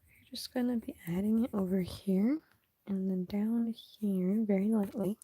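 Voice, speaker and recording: A young woman chatting casually on a live stream while she draws, soft and half-whispered, sniffly, her voice going hoarse. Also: mid-range voice, steady tone, a little slow. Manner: soft, often half-whispered, hesitant and rambling.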